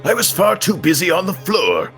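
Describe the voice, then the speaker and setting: A man's voice: sits high, wildly swooping pitch, moderate pace, vocal fry, sharp tone, faint music behind, full character voice. A man voicing a haughty, flamboyant witness with florid, theatrical phrasing in a comedic live game dub. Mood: haughty, dramatic.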